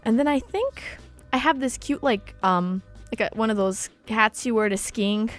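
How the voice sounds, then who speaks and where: A young woman whose first language is Polish, hosting a casual college radio talk show with a bubbly, chatty and animated way of telling stories. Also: fairly high pitch, normal speed, soft background music. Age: young adult. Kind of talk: casual chat.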